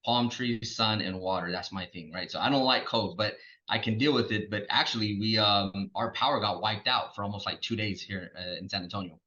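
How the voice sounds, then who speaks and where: Texan male guest, laid-back and casual, low but bright-edged voice, dull lo-fi line. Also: fairly quick. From Texas, USA. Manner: laid-back, many fillers like um and man. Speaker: podcast guest, coach. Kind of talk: casual interview chat.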